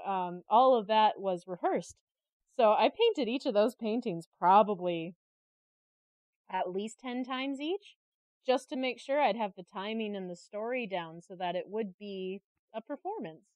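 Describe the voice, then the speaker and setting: Woman, casual interview guest, giggly storyteller, conversational, medium pitch, dry close sound. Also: darkish tone, no echo. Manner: giggly, laughs often while telling anecdotes. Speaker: interview guest, creative director and artist.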